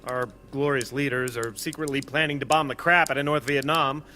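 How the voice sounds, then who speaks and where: An actor playing a sardonic newspaper reporter in a live radio play, recorded on stage with some noise. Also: male voice, mid-range voice, fairly even intonation, a little fast, sharp tone, close to an everyday voice.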